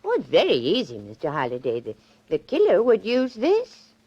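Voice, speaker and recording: A woman's voice: medium pitch, wildly swooping pitch, moderate pace, breathy edge. An actress playing a genteel, batty little old lady killer in a 1940s radio drama, polite and eerily matter-of-fact. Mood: eager, casual. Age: older. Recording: dull 1940s radio recording.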